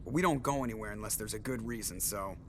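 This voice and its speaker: A man's voice: fairly low pitch, quick pace, noisy background, close to an everyday voice. An actor playing a sarcastic, cocky and suspicious male spaceship pilot in a video game cutscene. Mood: sardonic. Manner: sardonic, wisecracking.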